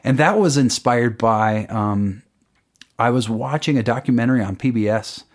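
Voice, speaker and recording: A man's voice: mid-range voice, moderate pace. A man, a songwriter guest, talking casually in an interview and explaining at length with lots of fillers. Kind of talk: casual radio interview chat.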